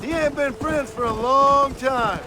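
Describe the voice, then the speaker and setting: A hard-bitten cowboy gunslinger, dry and sparing with words. The voice sits very high and carries an American Western drawl. It is game cutscene dialogue with clear outdoor background noise. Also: male voice, unhurried. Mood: guarded, terse. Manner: laconic, dry.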